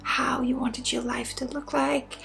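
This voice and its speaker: A Swedish woman with a Swedish accent leading an ASMR guided energy healing, speaking softly and often slipping into whispers. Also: high-pitched, little pitch movement, measured pace, creaky. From Sweden. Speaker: spiritual healer and ASMR host.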